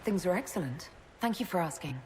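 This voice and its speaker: A woman's voice: lowish voice, expressive, fairly quick, darkish tone, a little hiss or hum, lightly acted. An actress playing a direct, dryly wry female fantasy hero and leader in a video game, plainspoken and brief. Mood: curious, polite.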